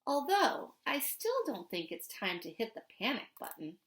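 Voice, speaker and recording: Easygoing, reassuring storybook dad played by a female reader. High register, lively, emphatic delivery. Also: moderate pace. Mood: affable. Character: calm, reassuring scientist father, affable and excitable. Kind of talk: storybook character reading.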